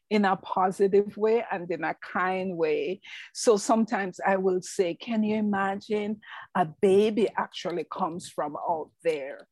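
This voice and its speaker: A middle-aged woman with a strong Jamaican accent, a clinician answering interview questions in a calm, measured, reassuring way. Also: mid-range voice, no echo. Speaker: clinician and researcher.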